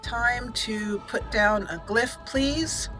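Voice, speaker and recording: Female let's play host: bright, forceful voice, chatty and casual, faint music underneath. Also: fairly high pitch, slow pace. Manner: chatty, talks aloud to game characters. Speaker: gaming channel host.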